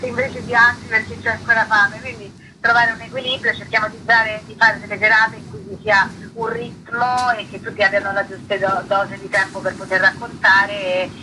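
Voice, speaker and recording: A woman's voice: medium pitch, flat delivery, normal speed, few pauses, background noise. A woman comedian speaking spontaneously as an interview guest, often searching for words as she explains. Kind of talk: spontaneous conversational radio interview.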